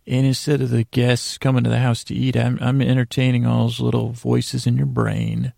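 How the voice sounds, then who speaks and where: A man hosting a bedtime podcast with a creaky, gravelly voice, rambling and halting through digressive monologue that often trails off. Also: medium pitch, a little mellow, dry recording, studio quality. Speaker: podcast host. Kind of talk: rambling bedtime monologue to lull listeners to sleep.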